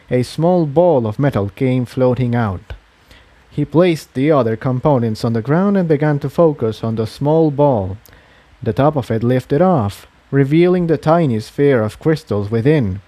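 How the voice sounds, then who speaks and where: Male audiobook narrator for fantasy adventure fiction, medium pitch, very dry close recording. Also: dark tone, dry recording. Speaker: narrator reading fan fiction. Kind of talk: audiobook-style scripted narration.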